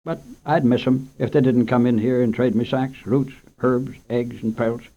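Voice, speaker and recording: A man reading aloud and voicing a kindly older male country storekeeper with folksy, gentle speech, in a muffled old radio recording. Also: mid-range voice, fairly even intonation, normal speed, close to an everyday voice.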